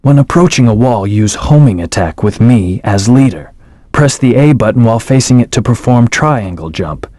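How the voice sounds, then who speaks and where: An actor voicing an aloof male hedgehog hero in a video game, giving calm, terse commands and hints. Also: mid-range voice, normal speed, dry recording, clean studio sound, close to an everyday voice. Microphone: studio microphone.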